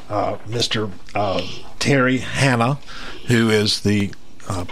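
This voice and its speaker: A man hosting a local radio talk show, introducing guests in a relaxed, halting way with many fillers. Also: medium pitch, gravelly, slight background noise. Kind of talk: casual radio chat.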